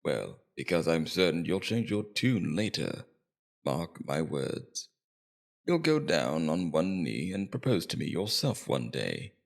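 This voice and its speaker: Guy voicing a super deep creaky demon king for asmr roleplay, suave n menacing, slow coaxing condescending talk. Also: big pitch swings, exaggerated voice. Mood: smug persuasion. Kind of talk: ASMR roleplay character acting.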